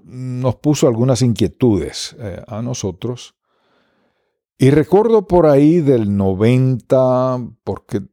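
Slow, mellow Dominican radio man. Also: medium pitch, no room sound, studio quality. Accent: Dominican Spanish, mostly neutralized. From Dominican Republic. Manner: unhurried, many pauses and fillers. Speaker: radio broadcaster, interview guest. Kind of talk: reflective interview answers.

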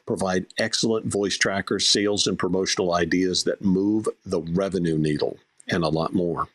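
A man hosts a live audio podcast in a low voice. He runs through promotions and guest introductions with upbeat patter. The sound is dry and close. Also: steady tone, normal speed, a bit bright, dry recording. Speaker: podcast host. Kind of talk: live audio show hosting.

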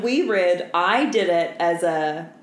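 A woman's voice: lowish voice, animated, slow. A woman co-hosting a podcast in casual, comedic banter. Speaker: podcast co-host. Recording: roomy echo, clear background noise.

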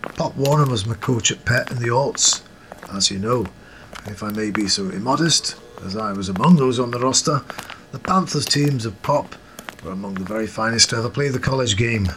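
An actor playing a genteel, proud yet modest Scottish football coach in a period audio drama, speaking with formal old-fashioned courtesy. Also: male voice, mid-range voice, moderate pace, fairly bright tone, a little hiss or hum, close to an everyday voice. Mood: earnest, modest.

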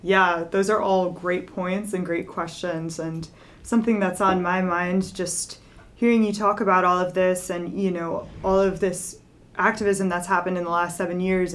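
A woman's voice: lowish voice, fairly even intonation, normal speed. Attentive woman podcast host. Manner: attentive, frequent short backchannels like "right" and "mm-hmm". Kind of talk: casual interview conversation.

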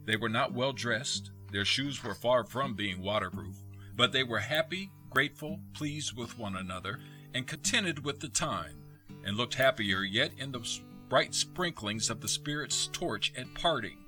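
A man narrating a radio play in a measured, expressive, literary storytelling style. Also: mid-range voice, faint music behind.

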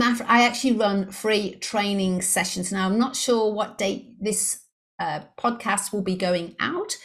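A middle-aged woman from Liverpool, England, with an English accent blended with Australian, explaining sales techniques upbeat as a podcast guest. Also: fairly high pitch. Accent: English mixed with Australian. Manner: upbeat, explanatory, acts out inner voices. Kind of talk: podcast interview.

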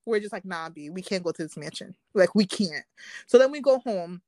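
A woman's voice: on the low side, fairly quick, no echo. A young woman telling a story in casual chat, acting out what people said, with plenty of slang. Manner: animated storyteller, acts out quotes, slangy.

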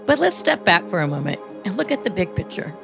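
Woman podcast host, lowish gravelly voice, measured announcer delivery, faint music behind. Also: sing-song. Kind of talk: scripted narration and interviewing.

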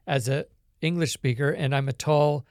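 Man from Canada, slightly higher pitch, dry close sound, reflective storyteller, frequent ums. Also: steady tone, moderate pace, clean tone, no echo. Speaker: guest. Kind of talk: conversational interview.

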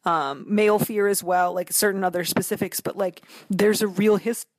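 A woman giving thoughtful, discursive commentary as an interview guest, often halting as she works out her ideas. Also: mid-range voice, steady tone, no room sound. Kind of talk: conversational literary and cultural commentary.

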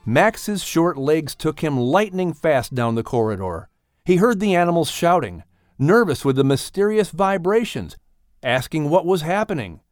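A man's voice: on the high side, no room sound. Dry studio male storyteller. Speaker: audiobook narrator. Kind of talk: expressive scripted children's story narration.

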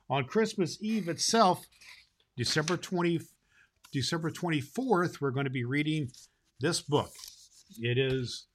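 A jolly, kindly old Santa Claus played by a man hosts a children's Christmas show. He has a medium-pitched male voice and speaks in a folksy, emphatic way, halting and repeating himself as he addresses the children. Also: fairly bright tone.

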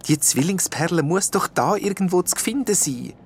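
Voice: medium pitch, animated, moderate pace, slight background noise, voice pushed up, close to an everyday voice. A storyteller voicing a young, brave but puzzled princess asking earnest questions.

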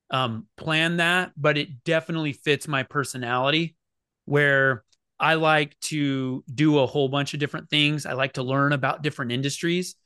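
A man from Oregon talks as a podcast guest, with a bright, smooth voice that carries well. He tells stories about his background in an easygoing way, with some fillers. The sound is dry and close. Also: a little high, dry recording. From Oregon, United States. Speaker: guest, business founder. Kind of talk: casual interview chat.

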